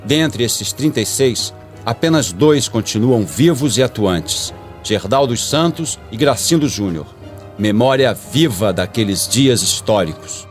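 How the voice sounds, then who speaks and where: A man's voice: mid-range voice, expressive, unhurried. A man with a Brazilian accent narrating a scripted radio documentary in a solemn style. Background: faint music.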